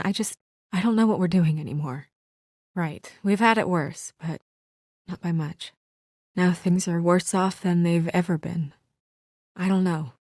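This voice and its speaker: A woman playing an earnest, weary and conscientious young administrator in a video game, speaking in a measured, serious, sometimes hesitant way. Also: on the low side, dry recording, studio quality. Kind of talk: naturalistic video game voice acting.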